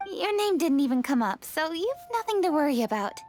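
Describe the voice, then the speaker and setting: A courteous young noblewoman in a fantasy game. Her voice is high and composed, with precise, businesslike phrasing. Soft music plays behind her. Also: very animated, brisk. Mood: concerned. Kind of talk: video game character acting.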